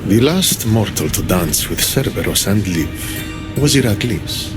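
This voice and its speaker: A man's voice: lowish voice, lively intonation, a little slow. An actor playing a sardonic, volatile god king of the underworld in a video game, with theatrical, mock-courteous menace. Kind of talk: video game cinematic acting. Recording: loud music behind the voice. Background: loud cinematic game music.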